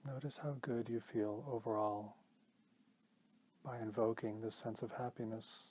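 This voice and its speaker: A man leading a guided meditation as a teacher, speaking in a calm, gentle, measured way with pauses. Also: lowish voice, low-quality recording.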